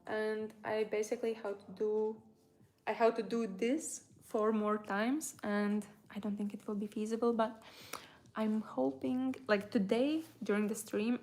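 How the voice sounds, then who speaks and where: A woman's voice: mid-range voice, darkish tone. Rambling woman. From Latvia. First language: Latvian. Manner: rambling, hesitant, trailing off mid-thought. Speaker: crochet tutorial creator, livestream host. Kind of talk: casual livestream chat.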